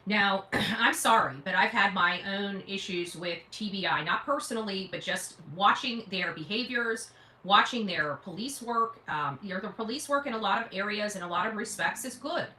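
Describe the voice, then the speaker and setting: A woman's voice: medium pitch, normal speed, pushed and bright. A woman hosting a casual live stream of political commentary, speaking in an emphatic, opinionated way. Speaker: livestream host commentator. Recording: roomy, echoing sound.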